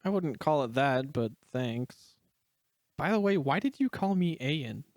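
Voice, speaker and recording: A man's voice: a little high, expressive, unhurried, no echo, studio quality. A man playing an earnest, humble, brooding teenage brother in an amateur fan-fiction audio story. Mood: modest.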